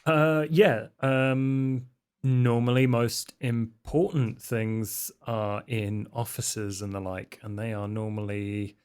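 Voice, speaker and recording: A man's voice: medium pitch, steady tone, slow pace, a little mellow, clean tone. A man playing a tabletop game, talking casually and hesitantly as he thinks aloud.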